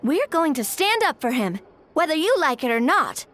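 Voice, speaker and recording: A woman's voice: high voice, sing-song, moderate pace, a bit bright. An actress playing an earnest, principled young woman in a video game, with a frank, conversational manner. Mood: firm, defiant.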